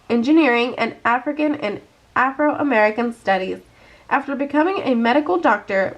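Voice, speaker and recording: A woman's voice: on the high side, rough recording. A woman reading aloud from a children's book as a storyteller. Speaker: storybook reader. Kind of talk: children's read-aloud narration.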